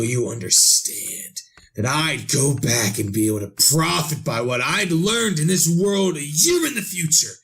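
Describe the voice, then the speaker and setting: An actor playing an excitable, power-hungry madman in a halting, melodramatic 1930s radio drama style. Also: fairly high pitch, heavily acted. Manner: melodramatic, halting, breathless exclamations. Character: excitable, power-hungry madman narrating his eerie tale.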